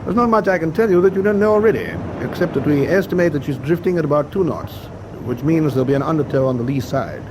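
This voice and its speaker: A man plays a wartime British naval captain in a radio drama. He talks fast in a slightly high, clipped voice, composed and used to command. Clear engine and sea noise runs behind him. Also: close to an everyday voice. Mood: steady, instructive. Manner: clipped, authoritative, courteous.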